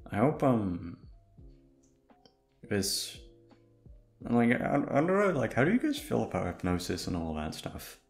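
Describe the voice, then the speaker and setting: A man's voice: fairly low pitch, huge pitch swings, a little slow, creaky, pauses often, soft background music. A man chatting casually to his listeners as a voice actor, in an informal, rambling way with some swearing. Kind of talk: casual chat.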